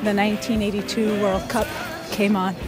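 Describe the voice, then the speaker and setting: A woman in her thirties from Ethiopia, telling stories in a casual interview with thoughtful pauses and soft laughs. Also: mid-range voice, flat delivery, over music. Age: 30s. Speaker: interviewee, pickup soccer player.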